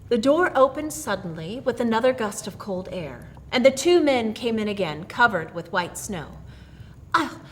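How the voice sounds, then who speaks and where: Woman story reader: high voice, very animated pitch, literary narration. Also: moderate pace, hardly any pauses, slight background noise.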